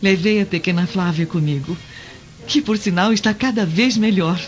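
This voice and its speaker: An actress with a Brazilian accent playing a gentle, devoted senator's wife in a radio drama, with polite, pleading diction. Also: female voice, mid-range voice, moderate pace, close to an everyday voice. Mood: placating. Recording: dull lo-fi radio drama with music.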